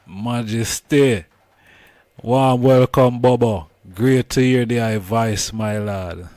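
A man's voice: mid-range voice, slow pace, a little mellow, no room sound. A man with a Jamaican accent hosting an interview in respectful, casual Rastafarian Patois. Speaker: host.

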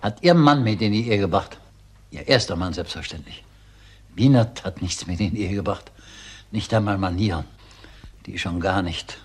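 An actor playing a grieving, bitter older schoolteacher in a crime drama, speaking haltingly and insistently. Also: male voice, sits low, slightly rough. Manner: halting, emphatic, repeating himself. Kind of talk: German crime drama acting.

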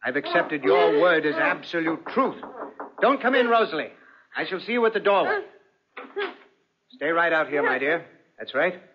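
An actor playing a coldly cruel, genteel husband with mock tenderness, in 1940s radio drama style. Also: male voice, fairly high pitch, animated, normal speed, pauses often, noisy background, close to an everyday voice. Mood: coldly commanding. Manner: suave, mock-tender, pompous. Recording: muffled, dull 1940s radio recording.

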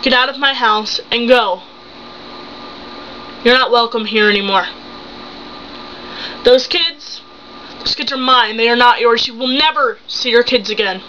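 A seventeen-year-old young woman acting a betrayed, hurt and angry wife and mother in an improvised dramatic monologue, accusing with halting, emphatic phrases. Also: a little high, pushed and bright. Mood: cold, dismissive. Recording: muffled home recording with hum.

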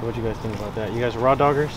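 A man's voice: medium pitch, very fast, heavy background noise. A young man chatting casually and slangily with people on the street as a prank interviewer. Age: young adult. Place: outdoors on a college campus. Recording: loud outdoor campus ambience.

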